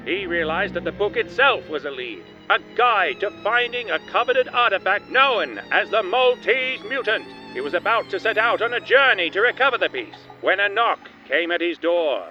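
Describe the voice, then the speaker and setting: A man's voice: high-pitched, expressive, moderate pace, few pauses, pushed and bright, muffled recording, soft background music, exaggerated voice. A man playing a melodramatic, portentous narrator of an old-time noir radio serial. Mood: dramatic. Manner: theatrical, portentous.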